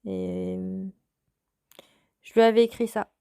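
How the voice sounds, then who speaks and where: A woman in her thirties, from France, hosting her own podcast and telling a personal story in a calm, reflective way. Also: mid-range voice, slow pace, gravelly, clear, resonant tone, dry recording, clean studio sound. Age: 30s. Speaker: podcast host.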